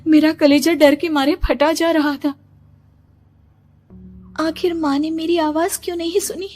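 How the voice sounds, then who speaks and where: A woman's voice: high-pitched, fairly even intonation, a little slow, a little mellow. A woman narrating a first-person horror story in a suspenseful, theatrical way, with music behind her. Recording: music under the voice. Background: background music.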